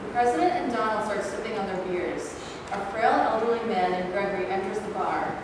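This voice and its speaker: A woman reading stage directions as scripted narration, recorded far off in an echoey hall with loud noise. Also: mid-range voice, a little fast.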